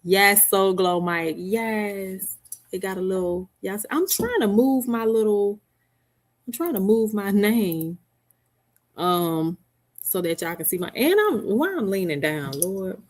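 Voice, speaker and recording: A woman's voice: medium pitch, lively intonation, slow pace. A middle-aged woman hosting a casual live stream from home, chatting with viewers by name and laughing often. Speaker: livestream host. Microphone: headset mic.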